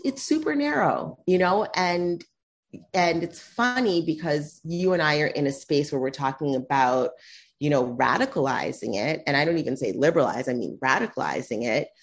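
A woman speaking as a guest in a conversational interview, often tagging her points with "right?" and "you know". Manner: animated, pitch jumps when quoting others.

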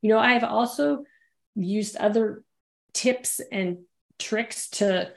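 A woman's voice: medium pitch, slow pace, gravelly. A woman guest in a conversational interview, rambling with frequent fillers. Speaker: podcast guest.

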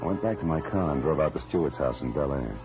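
A male actor with an American accent playing a wry, deadpan private detective in a 1940s radio noir drama. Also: a bass voice, fast. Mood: wry, matter-of-fact. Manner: deadpan, wisecracking. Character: wry, hard-boiled male private detective narrating his case. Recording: muffled old radio recording, music behind.

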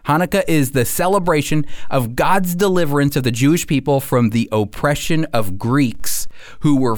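A man giving a scripted radio sermon as host and Bible teacher, speaking earnestly with deliberate, emphatic phrasing. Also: a little high, dry recording, studio quality.

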